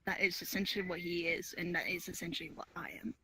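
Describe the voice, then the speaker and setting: A woman playing a guarded, reticent werewolf wanderer in an online roleplay, with a husky voice. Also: fairly low pitch, little pitch movement, fast, pushed and bright, rough recording. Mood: matter-of-fact.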